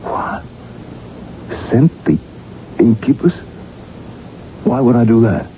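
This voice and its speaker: An actor in an early 1980s radio drama, playing a tired, troubled doctor. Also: male voice, mid-range voice, lively intonation, slow pace, a little hiss or hum. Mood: puzzled, uneasy. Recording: muffled old radio broadcast, faint music.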